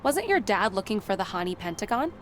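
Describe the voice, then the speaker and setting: A woman's voice: mid-range voice, sing-song, brisk, a little hiss or hum, close to an everyday voice. An actress playing a polite, inquisitive female newspaper reporter in a video game, speaking in a probing way. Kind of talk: video game character dialogue.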